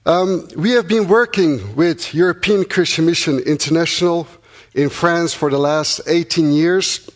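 Dutch-accented missionary man, earnest. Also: on the high side. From Netherlands. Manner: earnest and thankful, with pauses and fillers. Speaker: missionary church planter. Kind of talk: address to supporting congregation.

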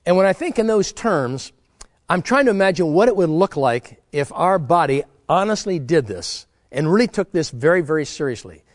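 A man's voice: on the high side, expressive, moderate pace, no room sound, studio quality. A middle-aged man preaching a sermon, earnest and exhorting, often restarting his words as he talks. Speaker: pastor.

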